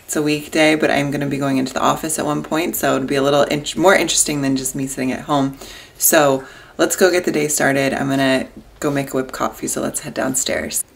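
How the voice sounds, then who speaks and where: A woman's voice: fairly even intonation, fairly quick, vocal fry. A woman in her thirties, chatting upbeat to the camera held at arm's length as she vlogs her day. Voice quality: deep morning voice. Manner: chatty, upbeat, addresses viewers directly. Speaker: vlogger. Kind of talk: casual vlog narration. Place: car, office, outdoors. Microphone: handheld camera at arm's length.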